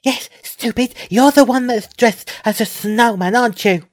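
A woman doing a mischievous kid, cheeky, mocking, high. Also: normal speed, close to an everyday voice. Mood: taunting. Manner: cheeky and taunting.

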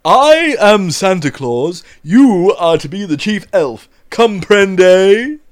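A man's voice: high-pitched, very animated, takes it slowly, full character voice. A man playing a sing-song scheming mad doctor. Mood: smug. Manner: theatrical and sing-song, smug. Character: scheming, greedy mad doctor, male adult.

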